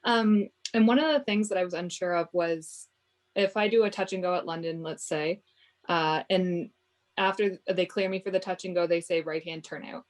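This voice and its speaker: A woman with a fairly low voice speaks up as a learner in a webinar. Her delivery is casual and tentative as she works through a question. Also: moderate pace. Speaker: student pilot asking a question.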